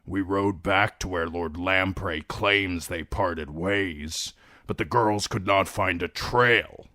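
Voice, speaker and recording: A coarse, sneering young lord with a deep, growling delivery, as a man reading a story plays him. He talks slowly and grumbles, heard close and dry. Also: pushed and bright, no echo, exaggerated voice. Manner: growling, complaining.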